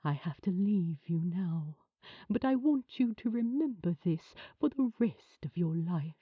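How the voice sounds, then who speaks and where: A woman plays a kind man who hosts children's television, gentle and earnest. The voice is husky and breathy, taking its time over each tender phrase. The sound is narrow and phone-like, like an audiobook heard down a line. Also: on the low side, animated, unhurried, no room sound, voice pushed down. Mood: tender, emotional. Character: gentle, kind male children's TV host saying a tearful farewell. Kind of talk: audiobook character acting.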